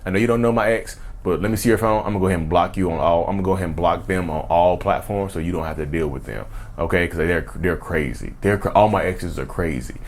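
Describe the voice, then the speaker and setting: Brief: smooth, bossy, controlling partner with a low, coaxing voice, put on by a man. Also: quick pace, few pauses. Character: controlling partner who calls all exes crazy.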